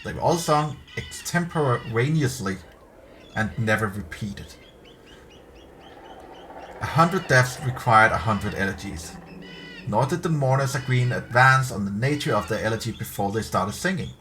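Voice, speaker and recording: A man's voice: mid-range voice, lively intonation, normal speed, pushed and bright. A middle-aged man reading a story aloud in a narrator's style, occasionally stumbling over words. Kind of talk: dramatic story read-aloud. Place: indoor room at a streaming desk. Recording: clear background ambience noise.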